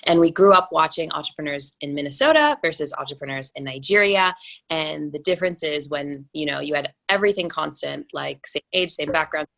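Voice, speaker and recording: Low-voiced American woman, quick and animated. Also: gravelly. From United States. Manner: fast, animated talker with many restarts and fillers. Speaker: venture capital investor, guest. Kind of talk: unscripted interview conversation.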